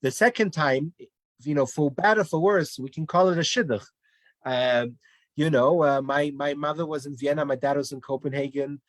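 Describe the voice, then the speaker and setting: A man's voice: on the high side, normal speed, no echo. A man from Denmark, a guest in a casual online interview, telling his family story in a rambling way with many restarts and fillers.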